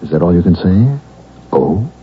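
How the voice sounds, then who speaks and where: A man's voice: low-pitched, very animated, moderate pace, a little hiss or hum. An actor playing a troubled, insistent male patient in a vintage radio drama, earnest and pleading. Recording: dull vintage radio broadcast with music.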